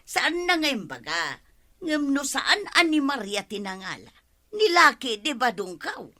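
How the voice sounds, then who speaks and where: High, piercing nagging Ilocano wife. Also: female voice, big pitch swings, unhurried, bright, forceful tone, heavily acted. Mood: agitated, complaining. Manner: scolding, insistent. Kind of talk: radio comedy drama acting.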